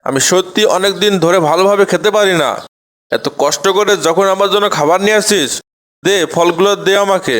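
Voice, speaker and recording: A man's voice: high voice, brisk, fairly bright tone. A man voicing an obedient, downtrodden guard snake in a children's cartoon, with a subservient manner. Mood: complaining. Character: hungry, obedient guard snake serving a master. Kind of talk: children's cartoon story dialogue.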